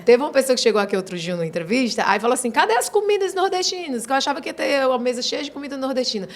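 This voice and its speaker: A woman hosting an interview in a lively, colloquial manner. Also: high-pitched, very fast. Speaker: host.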